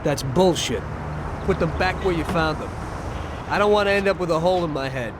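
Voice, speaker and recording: Male actor as level-headed young gangster in a game cutscene; a bit high-pitched, firm and wary, street noise behind. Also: a little fast, close to an everyday voice.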